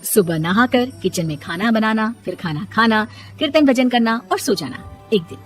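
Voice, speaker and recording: A woman's voice: on the high side, expressive, normal speed, soft background music. A woman narrating a cartoon story in a plain, matter-of-fact way.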